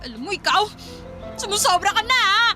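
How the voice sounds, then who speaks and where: A defensive, backbiting teenage girl in a radio drama. The voice is very high and animated, whiny and quick to take offense, with clear music behind it. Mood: spiteful.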